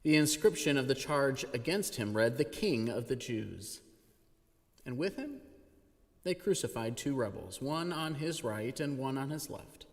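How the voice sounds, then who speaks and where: A man giving an expressive, dramatic scripture reading as a reader at a church service. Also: medium pitch, measured pace. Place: church sanctuary. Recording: reverberant church hall.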